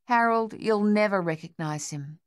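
A woman voicing a gruff young male soldier in a war audiobook, urgent and trying to steady a comrade. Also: mid-range voice, moderate pace, natural voice.

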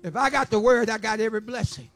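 Old preacher guy in his 70s, really high voice, drawn-out emphatic sermon, music behind him. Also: fairly bright tone. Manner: emphatic, repetitive, rhythmic declamation. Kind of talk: impassioned sermon.

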